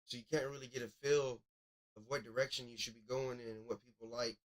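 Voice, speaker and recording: A man's voice: mid-range voice, fairly even intonation, fast talker. Casual man reviewing music, thinking aloud. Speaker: music review show host. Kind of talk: casual live commentary and critique.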